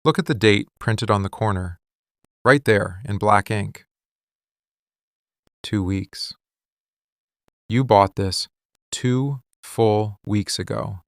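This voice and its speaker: A man playing a possessive, wounded mafia boss in a boyfriend ASMR roleplay, speaking in deliberate, clipped, interrogating phrases. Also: lowish voice, no echo, studio quality.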